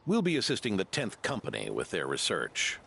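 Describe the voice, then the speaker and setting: A very low, creaky old knight in a fantasy game. He speaks in a measured, dignified way with expressive rises and falls, reflective and genial. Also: slight background noise, heavily acted. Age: older. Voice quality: gravelly. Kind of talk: video game character acting.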